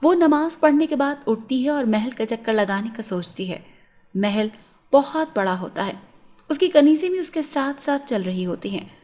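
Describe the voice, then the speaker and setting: A woman's voice: sits high, expressive, moderate pace, darkish tone. A woman storyteller reading a scripted fairy tale for children as a narrator.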